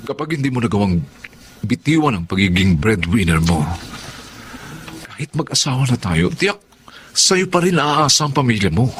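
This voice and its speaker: A man's voice: medium pitch, a bit bright, slight background noise. A man acting a frustrated fiancé in his thirties in a Filipino melodrama, earnest and reproachful.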